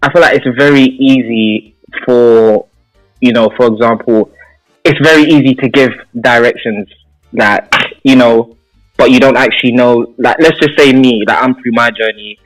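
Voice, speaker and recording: Young man, medium pitch, casual, reflective, hesitant phrasing, radio guest, faint background music. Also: normal speed, low-quality recording. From London, England. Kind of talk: casual reflective interview chat. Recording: background music under the talk.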